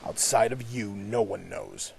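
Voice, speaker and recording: A man acts out a commanding man with secrets to keep in an audio drama. His voice is low and gravelly, his delivery terse, measured and defensive. There is slight noise on the recording. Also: a little mellow. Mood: stern. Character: commanding, guarded man running a secret suit program. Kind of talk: amateur audio drama acting.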